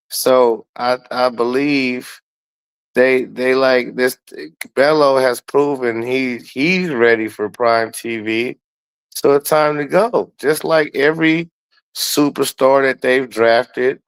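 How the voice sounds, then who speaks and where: A man speaks in a clear, resonant voice at a middle pitch. He gives casual sports commentary as a guest, full of slang and profanity, circling back on words as he builds his point. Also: poor audio. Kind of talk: casual sports talk with opinions and heavy slang.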